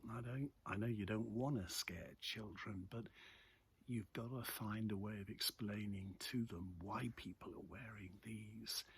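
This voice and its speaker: Low-pitched British man chatting over background noise. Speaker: vlogger giving a morning news commentary. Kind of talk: casual chat, off-the-cuff commentary on the news. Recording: clearly noisy ambient background.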